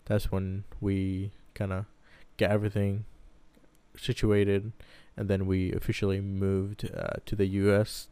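A man's voice: low voice, monotone, a little slow, dark tone, clean tone, dry recording. A man in his twenties from Iraq, a podcast co-host, casually telling his own story in a loose, hedging way. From Baghdad, Iraq. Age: 20s.

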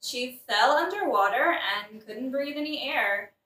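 A woman's voice: high-pitched, animated, a little slow, sharp tone, echoey room, distant mic, exaggerated voice, rough recording. A woman playing a flippant, tactless queen in a modern satirical audio play, casual and matter-of-fact about grim things.

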